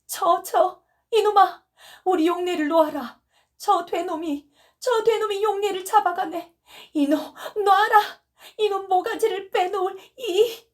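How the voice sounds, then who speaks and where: A woman's voice: very high-pitched, a little slow, voice pushed up. A woman voicing a grief-crazed mother who wails and sobs, calling for her lost daughter. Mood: frantic shrieking. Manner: wailing, shrieking, sobbing. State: crying.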